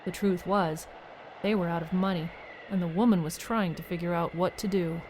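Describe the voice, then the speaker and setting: A woman's voice: lowish voice, steady tone, moderate pace, darkish tone, a little hiss or hum, rough recording. A woman narrating a storybook tale for an audio drama, conversationally addressing the listener.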